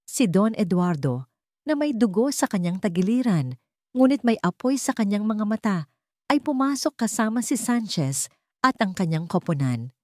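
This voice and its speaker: A woman's voice: mid-range voice, big pitch swings, normal speed, a little mellow, no echo, studio quality. A woman reading a scripted dramatic audio story as its narrator.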